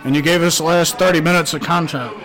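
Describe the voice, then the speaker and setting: A man's voice: on the high side, fairly even intonation, quick pace, poor audio. A sober young man hosting a party podcast, amused and laughing as he retells the night. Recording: party room with music.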